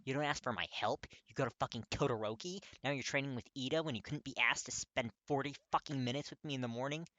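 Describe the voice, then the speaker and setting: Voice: mid-range voice, quick pace, hardly any pauses, sharp tone, dry recording, lo-fi sound, pitched above the performer's own voice, natural voice. A performer voicing a hot-tempered, foul-mouthed teenage boy with a harsh, abrupt, aggressive delivery. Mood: furious.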